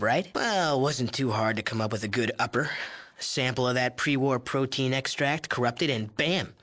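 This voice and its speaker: An actor playing a sleazy, cocky young drug chemist in a video game, with a nasal, whiny voice and smug, sarcastic, slangy delivery. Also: male voice, medium pitch, a bit bright. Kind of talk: video game character dialogue.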